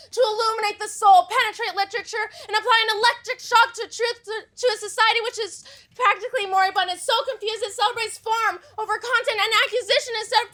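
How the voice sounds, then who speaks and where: A woman's voice: very high voice, bright, forceful tone. A young woman in a school stage play, playing an idealistic actress who lectures at length in a theatrical manner.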